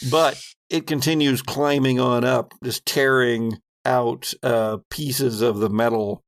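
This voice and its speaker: A man running a horror tabletop game as game master, narrating in a deliberate, descriptive way with pauses mid-sentence. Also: mid-range voice, fairly even intonation, no room sound.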